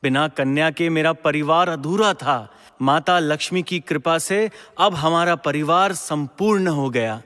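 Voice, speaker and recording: A man's voice: a little high, measured pace, a bit bright. A man playing a devout, principled ancient king in a mythological audio drama, speaking in a formal, declamatory way. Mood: joyful, grateful. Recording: long echoing hall reverb.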